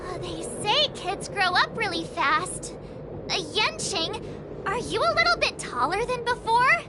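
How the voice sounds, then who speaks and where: Chatty teenage girl, anime game heroine, very high voice, lively swooping intonation, bubbly. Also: unhurried, over loud music, heavily acted. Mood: curious, teasing. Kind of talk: anime-style game voice acting.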